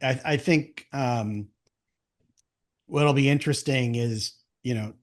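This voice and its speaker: A man with a mid-pitched voice hosts a casual interview show. He talks in enthusiastic, rambling riffs, working out his thoughts as he speaks and checking that he is being followed. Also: takes it slowly. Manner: thinks aloud in rambling, enthusiastic riffs, often checking with "right?". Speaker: podcast host and interviewer.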